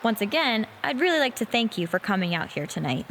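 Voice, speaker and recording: A woman's voice: a little high, sing-song, fairly quick, a bit bright, slight background noise. A woman playing an indignant pro wrestler who hosts an interview segment, speaking with accusatory emphasis in a scripted promo. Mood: feigned politeness. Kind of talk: scripted sports-entertainment promo.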